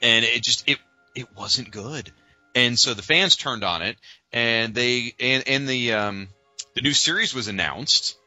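A man's voice: mid-range voice, measured pace, bright, forceful tone, no room sound, lo-fi sound, soft background music. A man co-hosting a podcast, talking casually and emphatically with a fan's strong opinions. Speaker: podcast co-host. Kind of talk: casual fan discussion.

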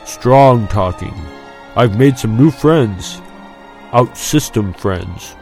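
A man's voice: fairly low pitch, normal speed, warm tone, soft background music, lightly acted. A man voicing an easygoing, smug young male pilot, knowing and emphatic, talking to a friend in an audiobook. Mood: solemn, confiding.

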